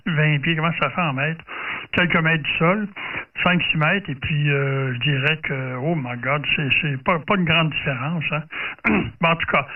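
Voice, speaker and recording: A man with a Quebec French accent chatting casually as an amateur radio operator, in a halting, hesitant way. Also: a little high. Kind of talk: casual radio contact chat. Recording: tinny band-limited radio audio, distant from mic.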